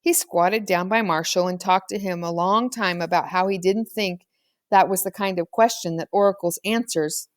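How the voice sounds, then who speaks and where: A woman's voice: lowish voice, normal speed, no room sound. Woman narrating in a dry recording. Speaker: audiobook reader. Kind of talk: scripted storybook narration.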